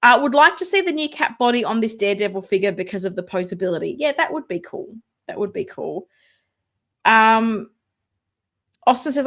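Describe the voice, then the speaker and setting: A woman hosting a live toy collecting stream, chatting casually, reading viewer comments aloud and unboxing a figure. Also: fairly bright tone. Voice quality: self-described booming voice. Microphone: desk mic, moved away at times.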